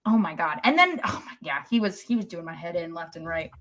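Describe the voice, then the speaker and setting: A woman's voice: lowish voice, lively intonation, a little fast, a bit bright, a little hiss or hum. A woman co-hosting a podcast in casual, opinionated sports fan chat.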